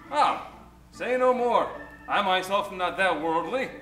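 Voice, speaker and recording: A man's voice: sits high, lively intonation, a little slow, bright, forceful tone, roomy sound, soft background music, exaggerated voice. A man playing a chatty, eager small-town cab driver in an old-time radio comedy, with folksy, theatrical delivery. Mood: obliging.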